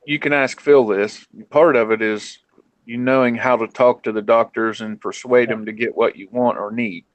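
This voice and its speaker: A man's voice: medium pitch, fairly even intonation, normal speed, no room sound, highs cut off. A man with a light Southern twang hosts a loose late-night chat. He rambles through folksy stories with crude asides. His voice sits mid-range and sounds dry and close. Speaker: host.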